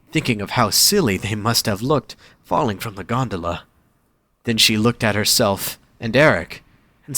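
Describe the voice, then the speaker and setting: A man's voice: fairly low pitch, pushed and bright, dry recording. A man reading scripted story narration as the narrator of an audio drama.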